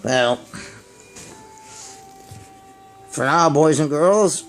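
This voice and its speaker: A man voicing a greedy, gleeful garbage can in a children's puppet show, speaking slowly and repetitively. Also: a little high, faint music behind, lightly acted. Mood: friendly, preachy. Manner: slow, repetitive, savoring delivery.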